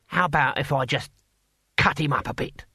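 A gruff old barbarian acted by a male narrator from a comic fantasy audiobook. He talks bluntly and haltingly, grudgingly polite, in a very dry recording. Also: a little high, pushed and bright, no room sound, full character voice. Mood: halting, uneasy. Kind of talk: audiobook character acting.

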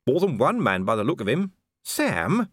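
A man's voice: medium pitch, wildly swooping pitch, moderate pace, fairly bright tone, husky, no room sound, studio quality, pitched above the performer's own voice, exaggerated voice. A man voicing a refined, kind-hearted noblewoman in a raised, fluttery voice. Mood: genteel. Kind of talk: audiobook character voice.

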